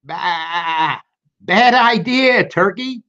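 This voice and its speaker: Man doing blunt, high-pitched barnyard animals. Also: drawn-out words, bright, forceful tone, heavily acted. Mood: scolding. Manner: exclaiming, bleating and crowing. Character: barnyard animals, blunt and scolding, spotting an impostor. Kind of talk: children's storybook read-aloud. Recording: dull lo-fi recording.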